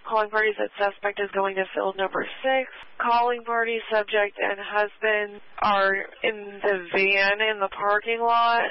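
A woman police dispatcher reading out call details over the radio in a steady, methodical way. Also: medium pitch, vocal fry, no room sound. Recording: muffled scanner radio.

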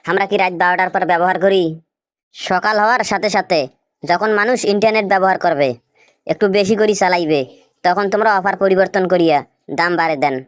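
A woman's voice: medium pitch, smooth, no room sound, natural voice. A woman with a Rangpur Bangladeshi accent voicing a sly, argumentative shopkeeper in a comic cartoon, talking like a mock salesman. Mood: scolding. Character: sly, vengeful shopkeeper with absurd offers.

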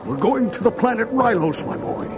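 High-pitched wily showman. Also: big pitch swings, moderate pace, music in the background, exaggerated voice. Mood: wheedling, gleeful. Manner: theatrical, coaxing patter. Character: wily, grinning salesman-like alien game inventor. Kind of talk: children's read-along storybook acting.

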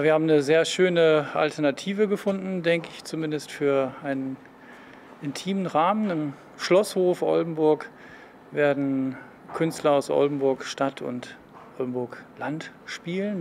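A man in his fifties gives an interview in a gravelly, fairly high voice. He speaks in measured, short phrases outdoors. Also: darkish tone, slight background noise. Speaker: concert organiser interviewee. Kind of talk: interview statement. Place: outdoor yard by street.

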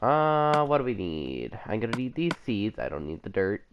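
A man's voice: fairly low pitch, very animated, moderate pace, slight background noise. A young man rambling casually while playing a game, still sick with a cough and the flu. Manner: rambling, chatty, self-correcting. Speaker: gameplay commentator. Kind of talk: casual let's play commentary.

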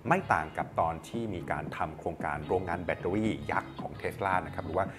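A man's voice: low-pitched, brisk. A man, presenting a news report in a conversational, explanatory way on a lapel mic in a studio. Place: small indoor studio at a desk. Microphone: clip-on lapel mic. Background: background music.

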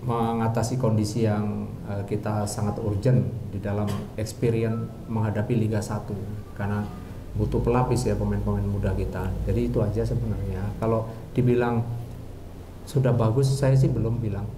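Low, even-toned football coach, man. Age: middle-aged. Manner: measured, halting delivery with pauses. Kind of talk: post-match press conference remarks. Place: indoor press conference room. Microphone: handheld microphone. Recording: roomy, noisy press room ambience.

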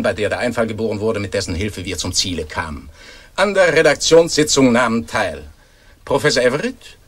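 An actor playing an earnest, long-winded scientific announcer in a radio play, formal and deadpan. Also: male voice, medium pitch. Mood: formal, brisk. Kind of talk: mock-formal scripted explanation in radio play.

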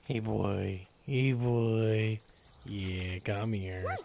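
Playful, slow-paced male game streamer. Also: lowish voice, fairly even intonation, creaky, noisy background. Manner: playful, talks to the game and its characters. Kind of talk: casual live gameplay commentary.

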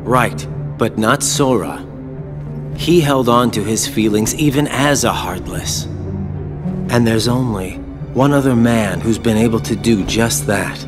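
A man's voice: medium pitch, a little slow, close to an everyday voice. A man playing a cool, sly young villain. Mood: intrigued. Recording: loud background music.

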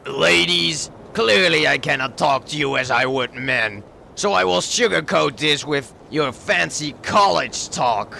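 A blustering cartoon army man with a heavily gravelled, high-pitched bark. His delivery swings up and down like an angry drill sergeant's, with faint noise behind it. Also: lively intonation, unhurried, bright, forceful tone, exaggerated voice. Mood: blustering, stern. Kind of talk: comic dub dramatic reading.

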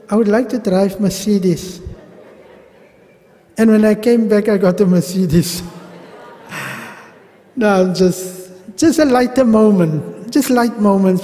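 A middle-aged South African man teaching a pilgrimage class at a mosque podium, animated and gesturing, with humorous asides. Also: high voice. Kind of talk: religious class lecture. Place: large mosque hall. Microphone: gooseneck podium mic. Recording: long reverb of a mosque hall.

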